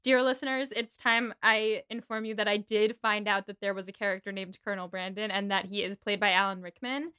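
A woman's voice: mid-range voice, fairly even intonation, fairly quick, few pauses, pushed and bright, clear, resonant tone. A woman co-hosting a casual book podcast, chatty and laughing often, swearing freely as she recaps chapters. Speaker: podcast co-host. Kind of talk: casual book-club chat.

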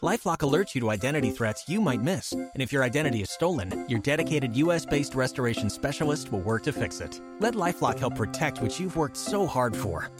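A man reading a scripted commercial as an announcer. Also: mid-range voice. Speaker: advertisement announcer. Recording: voice over music.

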